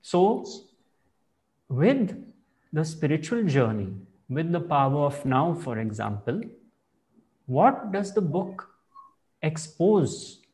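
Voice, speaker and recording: A man speaking as a spiritual teacher, explaining ideas in slow, measured and reflective phrasing. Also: fairly high pitch, sing-song, a little mellow, poor audio. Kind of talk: calm explanatory spiritual talk.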